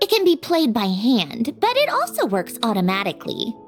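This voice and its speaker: Knowing nostalgic game lady, highish voice with big swings, soft music under it. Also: wildly swooping pitch, moderate pace. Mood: wistful, nostalgic. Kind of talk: video game character dialogue. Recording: faint music behind the voice.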